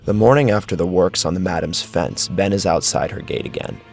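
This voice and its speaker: Male horror narrator: low, gravelly, dramatic and animated, faint music bed. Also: a little fast.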